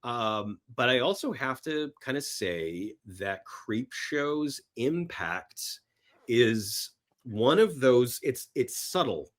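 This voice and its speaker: A middle-aged man with a mid-pitched voice talks as a guest on a film podcast. He speaks casually and tells things in an animated way, often repeating a phrase to drive it home. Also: slow. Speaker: podcast guest, horror film screenwriter and actor.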